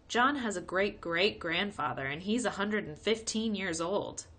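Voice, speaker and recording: A woman's voice: mid-range voice, expressive, unhurried, fairly bright tone. A woman voicing an earnest, innocent, friendly young schoolboy in a storybook, with piping delivery. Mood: eager, sincere.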